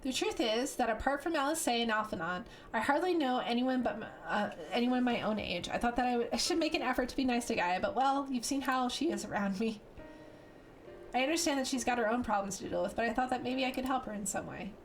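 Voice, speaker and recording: A woman reading as an earnest, shy teenage girl who speaks gently and hesitantly. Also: a little high, lively intonation, fast talker. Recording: game music behind voice.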